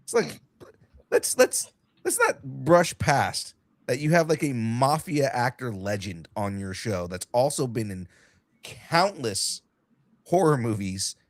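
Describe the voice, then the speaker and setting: A young man hosting a casual podcast, excitable and swearing freely, with a light cold and a slight cough. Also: medium pitch, slow, no room sound. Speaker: podcast host.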